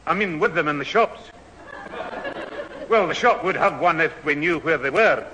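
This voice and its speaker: Old Scottish grandad, lively swooping intonation, gruffly kind, brooding, noisy old radio comedy. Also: male voice, on the high side, normal speed, lo-fi sound, some chatter behind. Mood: baffled. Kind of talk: old radio sitcom acting. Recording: noisy old radio recording in echoey hall.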